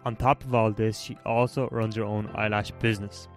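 A man's voice: lowish voice, little pitch movement, brisk, soft background music. Upbeat male podcast host. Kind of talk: scripted intro, then casual interview.

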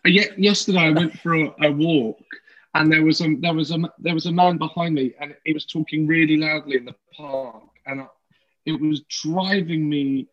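A man chats as a podcast guest in a fairly high voice, on slightly dull audio. He is hesitant, circling back and repeating phrases as he goes. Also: fairly even intonation, moderate pace, fairly bright tone. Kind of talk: casual chat.

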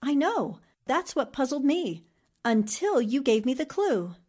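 An actress playing a shrewd, confident newspaperwoman sleuth in a 1940s-style radio mystery, deliberate and probing. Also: high-pitched, big pitch swings, a little mellow, breathy edge, exaggerated voice. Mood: accusing.

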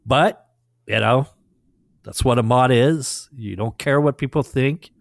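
A middle-aged French Canadian man with a Québécois French accent, French his first language, telling stories in a relaxed way as a guest DJ. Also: mid-range voice, unhurried, gravelly, dry recording, clean studio sound. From Quebec, Canada. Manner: relaxed storytelling with fillers. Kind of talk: casual interview chat.

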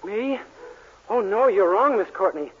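A man's voice: sits high, expressive, a little slow, husky, exaggerated voice. A man playing a bashful young clerk posing as a duke with a put-on Spanish accent, naive and hesitant, in 1940s radio comedy style. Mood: flustered. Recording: dull, noisy vintage radio recording.

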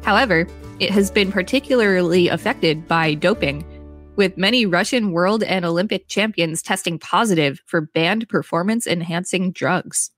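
Female podcast host, lower register, reading articles with casual asides over faint music. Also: normal speed, a bit bright.

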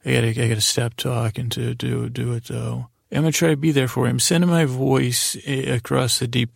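An American man hosting a bedtime podcast in a gravelly, creaky voice, with a soothing, meandering, halting delivery full of stammered restarts. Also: mid-range voice, no room sound, studio quality. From United States. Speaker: podcast host. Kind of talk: rambling bedtime-story podcast intro.

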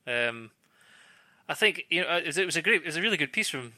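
A man's voice: fairly high pitch, animated, fast talker, sharp tone, no room sound. A man giving casual, analytical football punditry, hesitating and repeating words as he thinks. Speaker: football pundit, former defender.

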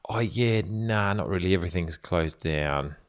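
Give me a very low-voiced man from Germany, dry and teasing. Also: normal speed. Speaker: podcast co-host. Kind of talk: casual chat.

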